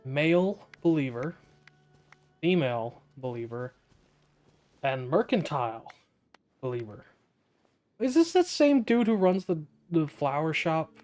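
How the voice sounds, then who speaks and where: A man's voice: a little high, big pitch swings, unhurried, long pauses, darkish tone. Wry man commenting over game music. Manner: sceptical, wry asides. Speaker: gaming streamer. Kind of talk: casual game playthrough commentary.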